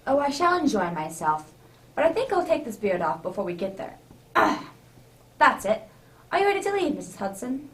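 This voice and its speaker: A woman playing a genial, easily flustered older English gentleman narrator in a radio drama, with avuncular, old-fashioned exclamations. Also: on the high side, very animated, normal speed. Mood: flustered, good-natured. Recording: distant microphone.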